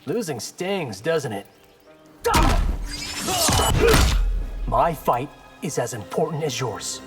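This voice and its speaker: An actor playing a young, confident martial arts fighter in a video game, with a dry, wry, casual manner. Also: male voice, medium pitch, sing-song, unhurried. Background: loud music.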